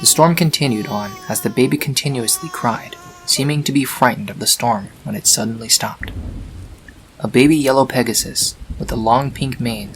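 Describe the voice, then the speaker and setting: A man narrating a scripted fan-fiction story in a measured, dramatic storytelling manner. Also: medium pitch, rough recording. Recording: faint hiss on home recording.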